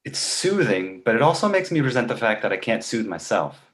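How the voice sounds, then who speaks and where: A man's voice: fairly low pitch, sing-song, brisk, a bit bright. A man playing a precocious, sarcastic two-month-old baby boy who talks like an articulate adult, in a comedy table read. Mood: snarky complaint. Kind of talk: live table read of an animated comedy script. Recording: echoey room sound.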